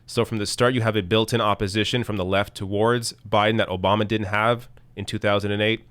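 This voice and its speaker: A man with a low, smooth voice argues his points in a blunt live-stream commentary, his voice growing slightly hoarse. The sound is dry and close, with no room echo. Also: steady tone, brisk, fairly bright tone. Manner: blunt, argumentative, reads comments and tweets aloud. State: losing his voice. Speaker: political commentary host.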